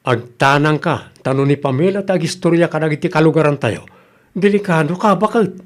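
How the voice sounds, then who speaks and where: A man's voice: fairly high pitch, brisk, lightly acted. A blunt village man, acted by a man in an Ilocano comedy radio drama. He chides and advises with an Ilocano Filipino accent. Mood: chiding.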